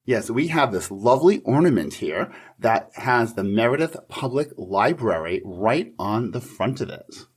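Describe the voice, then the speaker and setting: A man's voice: mid-range voice, animated, unhurried, hardly any pauses, slightly rough. A man co-hosting a podcast, interviewing and reading in a friendly, prepared style.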